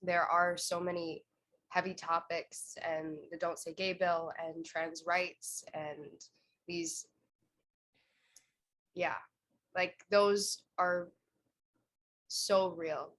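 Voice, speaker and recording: Woman from the cast answering a fan over a video call, slow and thoughtful, bright lowish voice. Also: little pitch movement. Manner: earnest, thoughtful, searching for words. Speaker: cast member. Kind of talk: panel Q&A.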